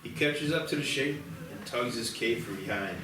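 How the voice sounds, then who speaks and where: A man's voice: medium pitch, normal speed, a crowd in the background. A man narrating a screenplay, reading out the stage directions at a table read. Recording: distant echoey room, clear music behind.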